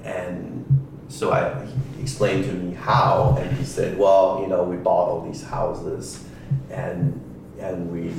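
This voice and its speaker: A man who grew up in Taiwan, a real estate attorney giving halting answers with many ums in a casual interview. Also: low voice, completely flat delivery, creaky, noisy background. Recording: distant echoey mic with music behind.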